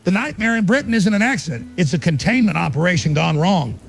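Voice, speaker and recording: A man's voice: high-pitched, lively intonation, fast, creaky, breathy edge, full character voice. A man playing a paranoid, defiant American conspiracy broadcaster delivering urgent, emphatic emergency warnings in a radio drama. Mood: grave, conspiratorial. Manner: emphatic, clipped doomsday warnings. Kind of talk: emergency shortwave radio monologue.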